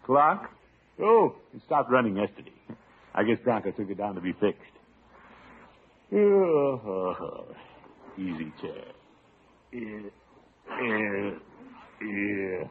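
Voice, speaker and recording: An actor playing a pompous, doting middle-aged uncle in an old radio sitcom, chuckling and blustering along in a self-satisfied way. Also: male voice, on the low side, big pitch swings, slow, long pauses. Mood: contented. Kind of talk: 1940s-50s radio sitcom acting. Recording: tinny, hissy old radio recording.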